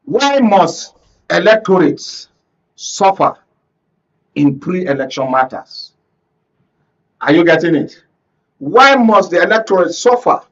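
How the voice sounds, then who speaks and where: A Nigerian man with a high-pitched voice speaks as a guest commentator in a political discussion. He is emphatic and argumentative, often pressing his point with questions. The sound is slightly dull and muffled. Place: radio and TV studio.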